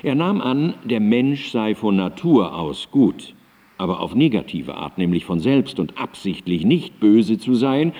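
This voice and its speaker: Low, mellow philosopher, reverberant. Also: male voice. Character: aging male Enlightenment philosopher, earnest, reflective, exacting. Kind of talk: radio drama monologue of historical writings. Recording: reverberant hall sound.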